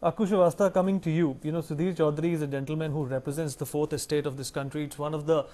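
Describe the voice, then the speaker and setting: A man's voice: a little high, steady tone, very fast, warm tone. A man with an Indian accent hosting a news panel discussion, putting pointed, emphatic questions to his guests. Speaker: news show host.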